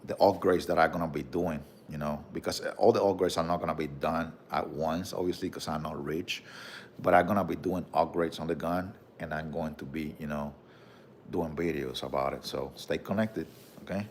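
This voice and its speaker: A man with a Puerto Rican accent hosting a casual livestream, reading viewer comments and showing off his collection, with a relaxed manner and frequent "bro" and "okay?". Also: extremely low pitch, normal speed, slight background noise. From Puerto Rico. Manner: relaxed, repeats "bro" and "okay?", many fillers.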